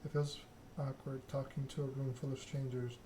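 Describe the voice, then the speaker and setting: Man narrating in a flat ASMR style, noisy background. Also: medium pitch, normal speed. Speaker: video game player reading the story aloud. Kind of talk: ASMR game read-along, narration with dialogue.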